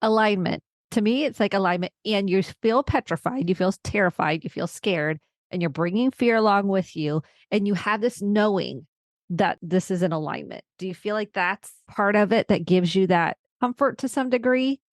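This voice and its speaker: A woman's voice: mid-range voice, moderate pace, no echo, clean studio sound. A woman hosting a conversational interview podcast, affirming her guest and repeating phrases for emphasis. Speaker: podcast host, therapist.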